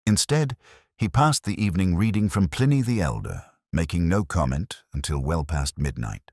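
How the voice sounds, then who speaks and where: Very deep-voiced male story narrator. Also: expressive, a little mellow, husky, dry recording, studio quality. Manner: measured, solemn storytelling. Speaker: audiobook narrator. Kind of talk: scripted literary narration of a Victorian detective story.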